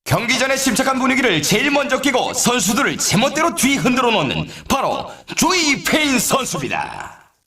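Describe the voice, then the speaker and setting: A man's voice: high-pitched, fairly bright tone. A man playing an upbeat snowboard race announcer in a video game, with a dramatic, showy commentary delivery. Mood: enthusiastic. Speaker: sports announcer. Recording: echoey, distant sound.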